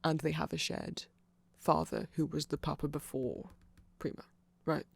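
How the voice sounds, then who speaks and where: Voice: mid-range voice, level tone, normal speed, vocal fry, husky. A person giving a rambling, informal and opinionated ASMR-style explanation as a content creator.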